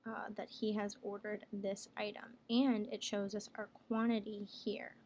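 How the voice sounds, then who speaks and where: A woman working as a software trainer, explaining each step plainly in a casual screen tutorial. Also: mid-range voice, flat delivery, measured pace, highs cut off. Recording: clear background noise, room ambience.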